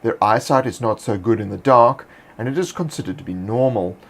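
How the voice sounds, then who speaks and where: A man hosting, reading fantasy monster lore in a measured, scripted narration. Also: medium pitch. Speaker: host.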